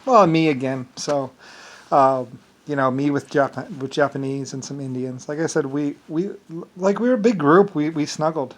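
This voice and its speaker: Man, Irish accent, slightly high voice, rambling, self-assured, solo vlog monologue. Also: normal speed.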